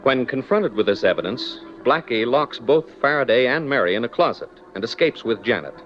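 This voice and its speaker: A man's voice: medium pitch, lively intonation, a little fast, a bit bright. A man announcing scripted story narration for a 1940s radio drama, on a dull old recording. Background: dramatic music.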